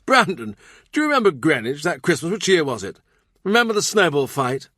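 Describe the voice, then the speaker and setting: A man, as audiobook reader, plays a hearty king out of a historical novel. He is indignant and sentimental by turns, emphatic, with a voice lifted fairly high and a dry, close sound. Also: sing-song, fairly quick, bright, forceful tone, dry recording, heavily acted. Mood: fond, disbelieving.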